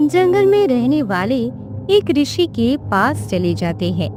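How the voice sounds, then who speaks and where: A woman storyteller narrates a fairy tale in a high voice. Her delivery is slow and scripted, with very lively intonation, over clear background music.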